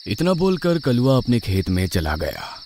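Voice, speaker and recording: A man's voice: medium pitch, big pitch swings, unhurried, darkish tone, a little hiss or hum. A man telling a scripted horror story as a dramatic narrator. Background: music.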